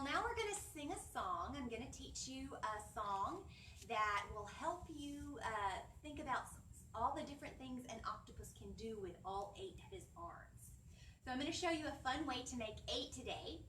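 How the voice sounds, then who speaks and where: A woman in her thirties presenting a children's storytime, talking in an animated, singsong way and putting questions to young listeners, recorded indoors on a camera mic at some distance. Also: on the high side, a little hiss or hum. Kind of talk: children's storytime teaching, addressing young viewers. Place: indoor library children's area, seated.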